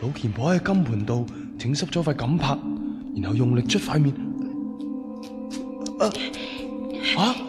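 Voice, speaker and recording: An actor in a radio drama playing a cheeky, irreverent young rascal who mutters crude, astonished asides. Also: male voice, medium pitch, expressive, measured pace, pauses often, music in the background, lightly acted. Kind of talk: Cantonese radio drama acting.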